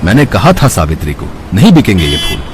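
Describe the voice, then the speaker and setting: A man playing a lazy, greedy village flower seller, theatrical and excitable with a husky voice, in a children's moral story. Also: deep voice, brisk, background noise. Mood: grumbling, defeated. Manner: theatrical, stammering when startled, exclaiming. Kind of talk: animated moral story dialogue.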